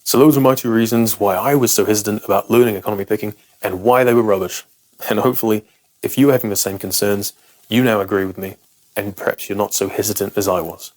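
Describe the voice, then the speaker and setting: Low-voiced British man explaining guitar technique. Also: steady tone, fast, a little mellow, poor audio. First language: English. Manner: frank, self-deprecating and emphatic. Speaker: guitar teacher.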